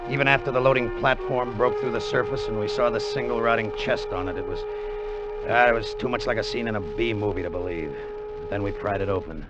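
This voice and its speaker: An actor playing an excitable American salvage crewman and gambler who narrates his adventure in 1950s radio drama style. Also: lowish voice, a little fast, natural voice, poor audio. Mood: wry, hushed narration. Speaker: narrator and character. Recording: vintage radio with music behind.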